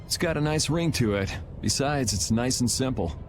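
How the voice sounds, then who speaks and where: A man's voice: medium pitch, lively intonation, normal speed, close to an everyday voice. A man playing a casual, easygoing young adventurer in an anime game dub, with music behind. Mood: relaxed confidence.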